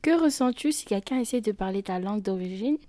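A woman's voice: medium pitch, lively intonation, a little fast, mellow, dry recording. A teenage girl introducing a friend and asking prepared interview questions carefully.

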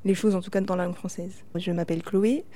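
A mellow young woman you might hear in a relaxed documentary interview, thinking through her own habits and quoting people as she goes. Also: on the low side, fast. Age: 20s. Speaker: interviewee, linguistic mediator at a university library. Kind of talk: casual, reflective personal account.

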